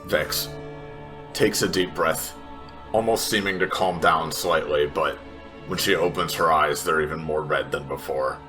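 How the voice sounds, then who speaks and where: A man's voice: rumbling low, measured pace, over music. A man narrating his character's actions as a player in a tabletop roleplay.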